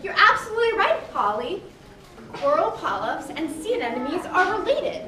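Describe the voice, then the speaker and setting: A woman's voice: very high voice, sing-song, normal speed, pushed and bright, exaggerated voice. A young woman playing an encouraging, knowledgeable ocean guide, explaining things in a children's puppet show. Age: young adult. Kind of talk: scripted children's educational show. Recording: echoey hall, distant mic, crowd noise.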